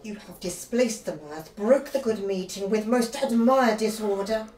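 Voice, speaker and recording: Woman acting a slow, commanding tragic queen. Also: mid-range voice, a little mellow, natural voice. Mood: scornful. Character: commanding, controlled queen scolding her unstable husband. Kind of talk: classical Shakespearean radio drama acting.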